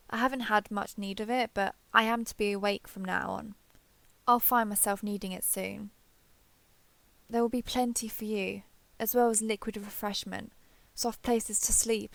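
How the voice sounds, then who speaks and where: A woman playing an ancient, proud female dragon in human form in an audio roleplay, speaking in formal, measured, archaic phrasing. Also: medium pitch, vocal fry, fairly bright tone, dry recording. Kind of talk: scripted audio roleplay acting.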